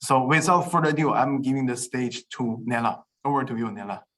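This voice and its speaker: A man's voice: mid-range voice, a little fast, a bit bright. A man hosting an online session, introducing the next speaker in a hesitant way, with uhs and repeated words. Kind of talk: online presentation.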